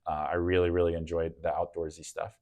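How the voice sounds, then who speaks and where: Earnest low-voiced man telling his story. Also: level tone, brisk, dark tone. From Southern US. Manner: earnest, reflective, with frequent ums and restarts. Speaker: guest, real estate agent. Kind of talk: casual interview conversation.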